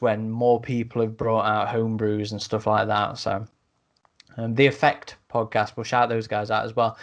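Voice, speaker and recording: A man hosting a podcast, chatting informally as he reviews and recommends things. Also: lowish voice. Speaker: podcast host. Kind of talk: casual podcast review chat.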